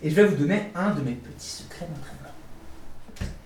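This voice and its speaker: A man's voice: on the high side, moderate pace, a little mellow, roomy sound, far from the mic, a little hiss or hum, poor audio. A man co-hosting a podcast, telling funny stories in a casual, self-mocking way and laughing often. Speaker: podcast co-host. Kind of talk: casual comic storytelling.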